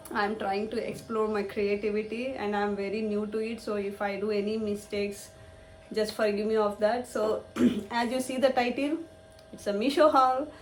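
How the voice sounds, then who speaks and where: A young woman with a husky voice, feverish and clearing her throat, chatting casually as she shows off a shopping haul. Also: medium pitch, darkish tone. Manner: chatty, repetitive, showing items to camera. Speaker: vlogger. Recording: echoey room, faint noise.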